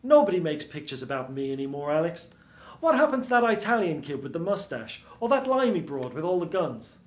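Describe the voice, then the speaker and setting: A bitter old mouse film star, played by a male storyteller, talks in a world-weary, hard-boiled noir manner. The voice sits fairly high and rings in a roomy stage space. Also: big pitch swings, brisk. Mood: bitter, wary.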